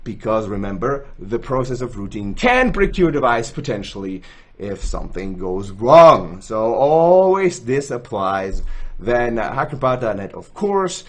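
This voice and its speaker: Lively young Swiss man explaining. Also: medium pitch, measured pace. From Switzerland. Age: young adult. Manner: chatty, self-joking, gestures while explaining. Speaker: gaming tools channel host. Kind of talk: screen-recorded tutorial. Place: indoors, small room, gaming chair.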